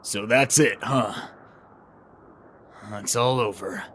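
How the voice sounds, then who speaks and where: A man voicing a blunt, brooding outsider warrior in a cartoon, with a raspy, dramatic delivery. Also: fairly low pitch, slow, very noisy. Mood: brooding, resolute. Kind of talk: animated drama voice acting.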